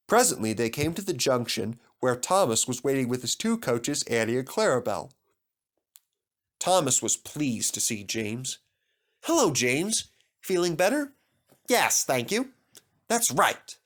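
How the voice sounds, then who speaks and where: A man reading a scripted children's story aloud as its narrator. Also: mid-range voice.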